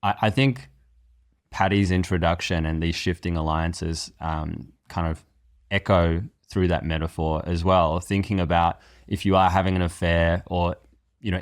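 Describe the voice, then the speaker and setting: A man's voice: deep voice, dry recording, clean studio sound. A man speaking as an interview guest, reflective and conversational, piecing his thoughts together with some repeated words.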